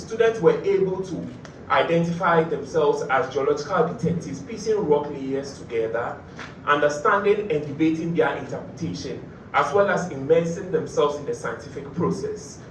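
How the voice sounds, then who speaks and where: A man, a graduate student, presenting his thesis in an earnest, measured way at a competition. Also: on the high side, roomy sound, recorded from a distance, slight background noise, low-quality recording. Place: auditorium.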